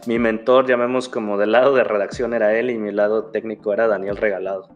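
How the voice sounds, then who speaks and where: A man's voice: lowish voice, flat delivery, fast talker, lo-fi sound, soft background music. A young Mexican man with a Mexican Spanish accent, talking casually as an interview guest, rambling, restarting phrases and adding fillers. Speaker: guest, security researcher.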